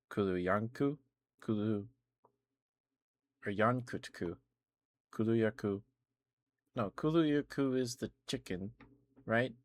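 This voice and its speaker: A man live streaming casual, rambling ASMR chat about games, often hesitating and correcting himself. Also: lowish voice.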